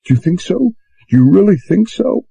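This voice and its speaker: A man's voice: medium pitch, lively intonation, a little slow, creaky, warm tone, breathy edge, voice pushed up, full character voice. A man voicing a frightened young woman who pleads through tears, breathless and near sobbing. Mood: hopeful.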